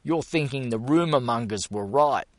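A man's voice: mid-range voice, animated, moderate pace, no echo, voice pushed up, lightly acted. A man voicing a blustering, boastful bearded man who pushes his point hard. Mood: earnest. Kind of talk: audiobook character voice.

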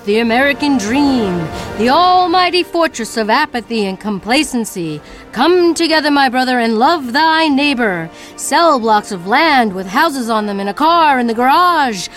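A woman playing an introspective artist in a poetic dramatic monologue, speaking with deliberate, theatrical phrasing. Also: sits high, animated, a bit bright, faint music behind. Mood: declamatory. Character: introspective woman artist baring her thoughts, by turns tender and defiant.

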